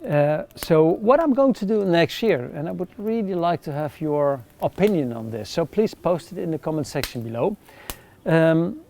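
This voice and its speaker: A middle-aged man with a Dutch accent, chatting casually to camera outdoors by a campfire, relaxed with frequent ums. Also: fairly high pitch, gravelly, a little hiss or hum. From Netherlands. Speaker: outdoor gear reviewer.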